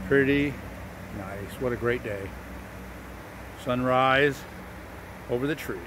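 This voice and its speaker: A relaxed man chats to a handheld phone camera as he walks a wooded trail and crosses a road bridge. His voice sits at an ordinary middle pitch, and faint traffic hums in the background of the outdoor recording. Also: slow. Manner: relaxed, chatty, talking to camera while walking. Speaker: hiker vlogger. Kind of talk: casual hiking vlog narration.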